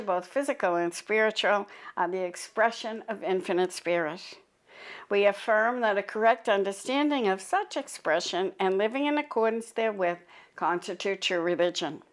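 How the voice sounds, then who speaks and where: Calm woman minister leading a service. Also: mid-range voice. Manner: calm, measured, unhurried leading of prayer. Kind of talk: church service, guided meditation and recited declaration. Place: church service recording.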